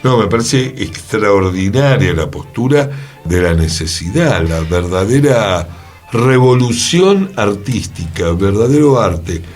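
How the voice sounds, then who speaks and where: Expressive lower-voiced Argentine man in discussion. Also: takes it slowly, soft background music. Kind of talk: conversational radio discussion.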